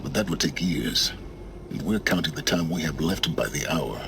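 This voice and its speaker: An actor playing a solemn, calculating man who guides the heroine, speaking in a measured, ominous way. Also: extremely low pitch, lively intonation, creaky, slight background noise, exaggerated voice. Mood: grave. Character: mysterious older man guiding the heroine, grave and calculating. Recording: game audio with loud ambience.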